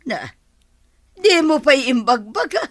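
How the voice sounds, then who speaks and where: A woman's voice: high-pitched, very animated, slow pace, fairly bright tone, no room sound, studio quality, heavily acted. A woman playing an older, incredulous mother who grumbles about money in a radio drama. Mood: complaining. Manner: complaining, incredulous. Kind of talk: Ilocano radio drama acting.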